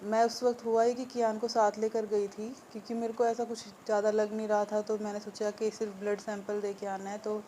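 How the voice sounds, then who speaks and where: A woman from India, unwell with a sore throat, speaks in a flat, even tone with little rise and fall. She talks slowly and haltingly, pausing often and filling the gaps, as she updates her viewers from home. There is a faint room hum behind her. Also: medium pitch, poor audio. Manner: hesitant, subdued, with many pauses and fillers. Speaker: vlogger. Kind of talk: casual vlog update to viewers.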